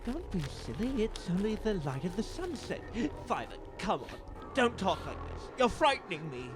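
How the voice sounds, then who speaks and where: A performer plays a protective young male rabbit in a cartoon dub. The voice is earnest and reassuring, with lively pitch movement, set over clear music. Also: normal speed, natural voice. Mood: alarmed, firm. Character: protective, sensible young male rabbit, older brother type. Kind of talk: animated comic dub of a novel. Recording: music behind the voice.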